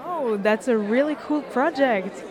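A woman interviewer asks friendly, brisk questions in a fairly high voice. Loud crowd chatter fills the busy indoor hall behind her. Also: animated. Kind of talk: casual interview.

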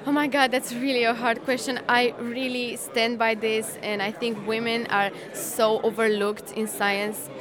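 A young woman answers an interviewer informally and with emphasis. Her pitch is a little high, and a crowd is clearly audible around her. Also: level tone, a little slow, few pauses. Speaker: science fair participant being interviewed. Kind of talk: casual spoken interview answers. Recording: clear crowd noise behind. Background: crowd chatter.

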